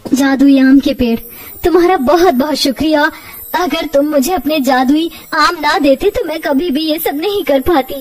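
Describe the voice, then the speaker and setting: A devoted young village mother played by a woman with a very high, emotional voice. She sounds plaintive, in cartoon storytelling style, slightly distant over music. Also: normal speed, lightly acted. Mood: grateful. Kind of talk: dramatised children's cartoon story.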